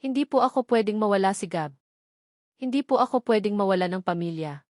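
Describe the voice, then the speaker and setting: A woman's voice: mid-range voice, lively intonation, fairly quick, a little mellow, dry recording, clean studio sound, lightly acted. A woman with a Filipino accent voicing a jealous, desperate young fiancée in a romance story, agitated and pleading.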